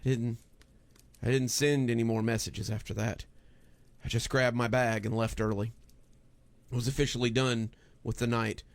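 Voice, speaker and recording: Man, horror audiobook narration, fry-edged voice, mid pitch, dry sound. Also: level tone, normal speed, dry recording. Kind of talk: scripted first-person horror narration.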